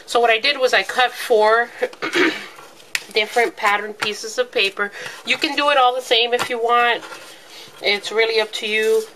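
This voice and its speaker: A woman's voice: on the high side, sharp tone, a little hiss or hum, poor audio. A woman with a stuffy, congested voice from a cold, chatting casually through a step-by-step craft tutorial with plenty of ums. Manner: chatty, lots of ums, talks while working with her hands. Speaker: craft video host.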